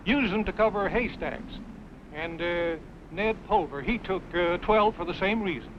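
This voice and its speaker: An actor playing a folksy country storekeeper who muses haltingly aloud, in an old-time radio western on a muffled recording. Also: male voice, high-pitched, lightly acted. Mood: chatty, musing. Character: talkative, folksy country storekeeper, slow to recollect. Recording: muffled, faintly noisy vintage radio recording.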